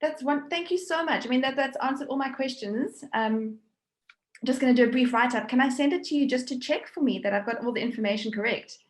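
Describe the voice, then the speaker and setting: A woman's voice: high voice, a little fast. Encouraging woman interviewer, echoey call. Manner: friendly, encouraging. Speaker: interviewer from the university. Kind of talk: casual online interview. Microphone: video call. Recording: echoey room over video call.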